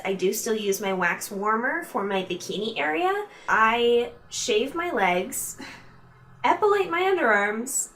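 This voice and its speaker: A woman's voice: medium pitch, a bit bright, recorded from a distance. A woman chatting informally to her viewers as a beauty vlogger, reviewing products in a casual, direct way. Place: room at home used for filming.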